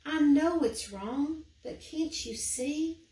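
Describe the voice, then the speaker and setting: Casting: little male book-stealing creature played by a woman, high, meek and mournful, storybook style, roomy sound. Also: slow, dark tone. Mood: sad, pleading. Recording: roomy echo, distant microphone.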